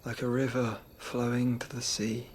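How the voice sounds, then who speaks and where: A solemn young nobleman from a fantasy game, acted by a man, with a middling male pitch. He speaks gravely and courteously, as if burdened by duty, with careful formal phrasing. Faint ambient noise sits behind the voice. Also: little pitch movement, normal speed, natural voice. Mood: solemn, reflective. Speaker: video game character. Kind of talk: dramatic cutscene acting.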